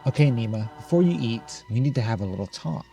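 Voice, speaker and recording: A man's voice: mid-range voice, lively intonation, quick pace, gravelly, mellow, soft background music. A man voicing an anxious, controlling mother in a horror game, coaxing and insistent. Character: anxious, controlling mother fussing over her daughter.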